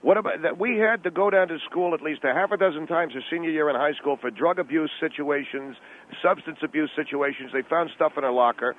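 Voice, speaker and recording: A man voicing a brash, defensive middle-aged father arguing on a radio call-in comedy, halting and self-justifying. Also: on the high side, pitched above the performer's own voice, natural voice. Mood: exasperated. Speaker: radio show guest. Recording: tinny phone line with noise.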